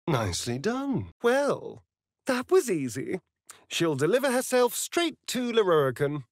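An actor playing a sly, vain vampire rogue in a video game, with a silky, theatrical, mocking drawl. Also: male voice, sits high, big pitch swings, measured pace, no echo, studio quality, heavily acted. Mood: smug, dry. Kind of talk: video game character voice lines.

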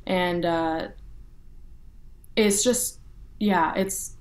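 A woman's voice: fairly low pitch, very slow. Young Texan woman chatting casually. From North Texas, USA. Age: young adult. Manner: relaxed, frequent fillers like "you know" and "like". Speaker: interview guest, violinist. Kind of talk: casual interview talk.

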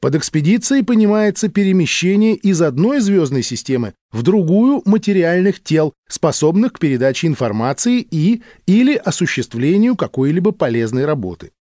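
Guy narrating a sci-fi audiobook, formal measured delivery, lots of intonation, dry close studio sound. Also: on the high side, big pitch swings, warm tone, no echo. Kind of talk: scripted audiobook narration of science fiction.

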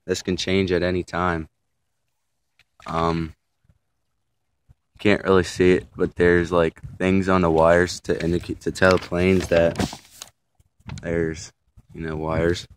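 A young man casually narrating a tour of a river dam outdoors, filming on a phone, halting with many fillers and restarts. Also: low voice, flat delivery, slight background noise. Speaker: vlogger filming a local landmark. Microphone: phone camera held while walking.

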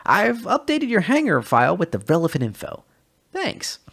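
A man voicing a deadpan male clerk who puts on an unconvincingly cheerful tone. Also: normal speed, creaky, full character voice. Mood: fake cheerful. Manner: falsely cheerful, lifted pitch.

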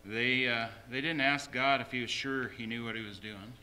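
A man's voice: medium pitch, fairly even intonation, moderate pace, pushed and bright, slight background noise. A man preaching a sermon as a pastor, emphatically repeating short phrases.

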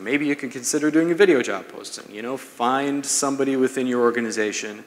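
Man presenting in a very echoey hall. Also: mid-range voice. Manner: conversational, explanatory, checks in with "right?". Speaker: presenter, marketing speaker. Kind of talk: business seminar talk to an audience. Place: large brick-walled event room, indoors. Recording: echoey hall, long reverb.

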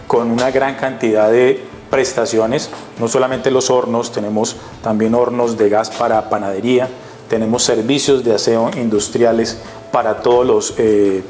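A man in his fifties from Colombia, a university facilities manager giving a measured testimonial with some restarts, on a lapel mic in a large kitchen. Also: medium pitch, a little mellow. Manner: measured, explanatory, with hesitations and restarts. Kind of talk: testimonial interview. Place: large industrial kitchen, indoors.

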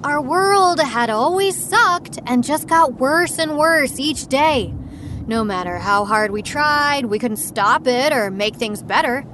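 High-voiced, cocky demon hunter. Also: female voice, expressive, takes it slowly, a bit bright, a little hiss or hum, heavily acted. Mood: proud, callous. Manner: scornful, brash, fearless. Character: cocky, defiant young female streamer who hunts demons. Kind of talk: audio drama acting.

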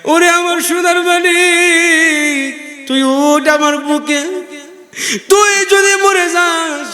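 A man preaching an emotional sermon in a plaintive, wailing, drawn-out singsong. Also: very high voice, steady tone, sharp tone, faint music behind. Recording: echoey hall PA with long reverb.

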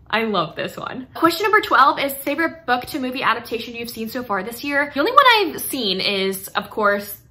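A woman's voice: high voice, sharp tone. A woman in her twenties chatting casually to camera as a book vlogger, gushing and emphatic in an informal way. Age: 20s. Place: small indoor room lined with bookshelves.

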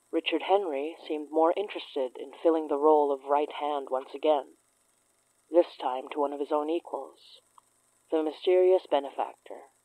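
A performer playing a solemn chronicler in a horror audio drama, reading a dossier in a measured, formal, deliberate way. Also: medium pitch, darkish tone, no room sound, natural voice, rough recording.

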